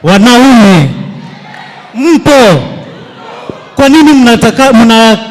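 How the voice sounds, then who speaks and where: Guy arguing on a panel, slow emphatic, highish voice, hall echo. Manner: emphatic, measured points, mixes in Swahili. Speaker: panelist, historian. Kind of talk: impassioned public debate speech. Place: large hall with audience. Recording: long hall reverb.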